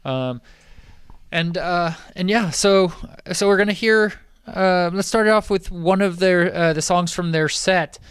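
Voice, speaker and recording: A man's voice: high-pitched, a little slow, a bit bright, clean tone, no echo, studio quality. A man hosting a podcast, easygoing and laughing often as he steers the chat toward the band. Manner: easygoing, laughs a lot, keeps the show moving. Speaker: podcast host. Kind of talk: casual chat introducing a band.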